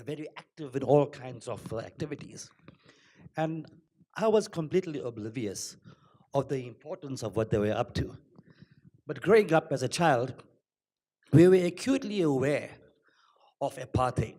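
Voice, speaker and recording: A middle-aged man sharing personal reflections at a book launch, speaking hesitantly with many pauses. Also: medium pitch, darkish tone. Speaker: audience member sharing a reflection. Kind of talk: unscripted remarks at a book launch.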